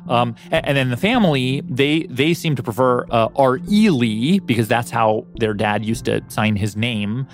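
A man narrating a documentary story in a conversational way, often halting and repeating words as he goes. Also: medium pitch. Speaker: radio documentary host and reporter. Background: music.

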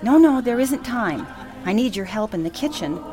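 A woman playing a loving, bustling grandmother in a sentimental radio play, expressive, chatty and affectionately teasing. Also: a little high, normal speed, lightly acted.

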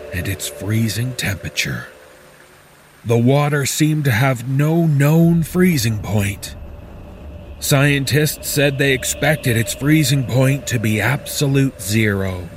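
A man playing a weary, bitter disaster survivor, narrating a horror story in the first person with deliberate, dramatic pauses. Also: mid-range voice, a little hiss or hum, close to an everyday voice. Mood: bitter, frustrated.

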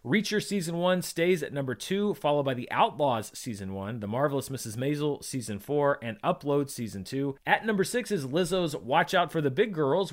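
A man in his thirties hosting a show solo, talking through charts in a chatty, enthusiastic way with wry asides. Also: a little high. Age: 30s. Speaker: podcast host recapping box office. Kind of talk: conversational solo commentary on movie charts.

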